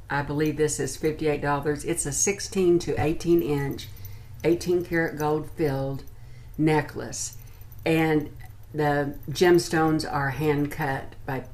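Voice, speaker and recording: An American woman in her sixties chatting informally to camera as she reviews products. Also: sits low. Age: 60s. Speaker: beauty and lifestyle vlogger. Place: small furnished room at home, indoors.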